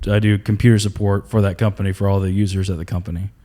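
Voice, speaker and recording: A comedian guest with a Southern US accent, from North Carolina, chatting casually on a podcast in a plainspoken, easygoing way. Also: male voice, deep voice, little pitch movement, fast, dark tone.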